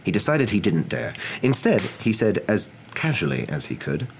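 Very deep young male storyteller. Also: very animated, normal speed, gravelly, a little hiss or hum. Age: young adult. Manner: soft-spoken, dramatic. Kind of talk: ASMR story narration.

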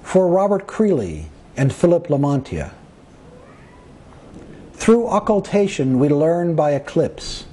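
A man recites his own poetry slowly, in short, deliberate phrases with pauses between them. His voice sits a little high for a man, with a soft, mellow tone and a husky grain. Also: sing-song. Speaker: poet. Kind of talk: poetry reading of own work.

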